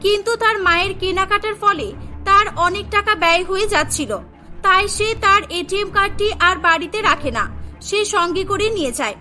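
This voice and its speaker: A woman's voice: extremely high pitch, a little fast, bright, forceful tone. A woman narrating a scripted cartoon story as its storyteller. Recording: voice over prominent background music.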